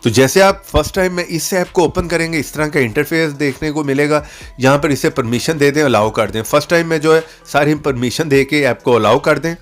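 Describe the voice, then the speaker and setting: A man giving a casual step-by-step app tutorial voice-over, often checking in as he instructs. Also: a little high. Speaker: tutorial channel host. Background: background music.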